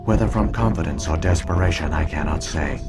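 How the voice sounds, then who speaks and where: Ancient alien computer mind for a sci-fi game: very deep, solemn, formal, coldly logical, over prominent music. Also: male voice. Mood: grave, measured. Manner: measured, formal, deliberate. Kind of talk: scripted video game voice acting, exposition.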